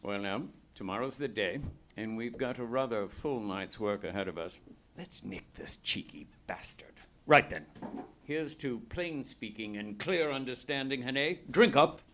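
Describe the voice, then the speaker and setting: A man's voice: medium pitch, expressive, measured pace, a bit bright. A man playing a hearty, shrewd British police inspector in a live radio play, talking in an expansive, knowing way. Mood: hearty, convivial. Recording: live theatre stage, faint noise.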